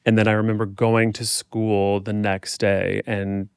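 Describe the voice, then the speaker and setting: Low, clear-voiced man, dryly recorded. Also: level tone, unhurried, darkish tone, no room sound, clean studio sound. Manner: informal, reflective, frequent "like". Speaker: podcast guest, performer and dance instructor. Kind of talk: personal storytelling in a casual interview.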